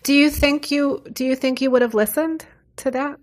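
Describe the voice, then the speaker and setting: A woman's voice: sits high, steady tone, normal speed. A woman with an American accent hosting a casual, conversational podcast interview. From United States.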